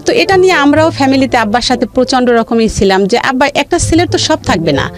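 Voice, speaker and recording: A woman's voice: sits high, lively intonation, quick pace. A woman with a Bangladeshi accent telling her life story in a radio interview, emphatically repeating phrases for stress. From rural Bangladesh. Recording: music under the voice.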